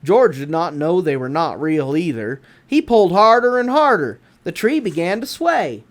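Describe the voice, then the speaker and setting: Southern US man, very animated storybook narrator. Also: a little high, normal speed. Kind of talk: expressive children's read-aloud.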